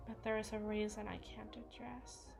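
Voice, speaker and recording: A woman's voice: medium pitch, flat delivery, normal speed, creaky, soft background music, close to an everyday voice. A woman playing an unstable, brooding evil queen in an intense, theatrical audio roleplay, speaking in formal, dramatic monologue. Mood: anguished. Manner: theatrical, formal, intense, swinging between hushed and forceful.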